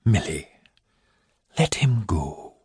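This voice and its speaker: A man voicing a gentle, sad but firm lady in an audiobook, speaking in a hushed, soothing manner. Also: low voice, very animated, takes it slowly, slightly rough, no echo, clean studio sound, voice pushed down, exaggerated voice. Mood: firm, pleading.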